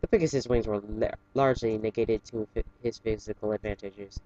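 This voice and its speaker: Halting amateur male story reader, lo-fi sound. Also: fairly low pitch, fairly even intonation, dark tone, dry recording. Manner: halting, stumbles and self-corrects while reading. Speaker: fan fiction reader. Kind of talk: casual amateur story reading with chatty asides.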